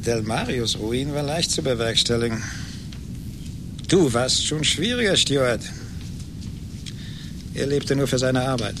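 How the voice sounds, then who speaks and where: An actor in a German radio play playing a gloating, vengeful rich man, condescending and deliberate. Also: animated, close to an everyday voice. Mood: cold triumph. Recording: noisy 1980s radio recording with rumble.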